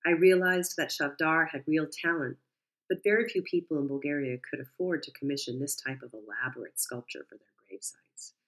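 A woman reads a first-person story in a calm, measured way. Her voice is low for a woman and steady. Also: poor audio. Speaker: narrator. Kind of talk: first-person audiobook narration.

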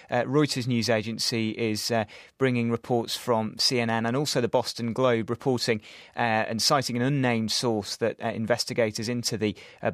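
A man hosting a live radio talk show, speaking briskly and conversationally as he reads listener messages and links segments. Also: medium pitch, no echo, studio quality. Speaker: radio host. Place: radio studio.

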